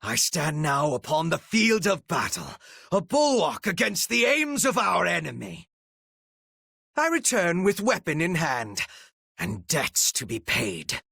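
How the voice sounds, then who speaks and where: Game role: cold, devoted male strategist, raised pitch with animated swings, archaic formal phrasing, menacing rasp. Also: unhurried, dry recording, studio quality, exaggerated voice. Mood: grim resolve. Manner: formal, archaic, theatrical diction. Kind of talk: video game voice acting.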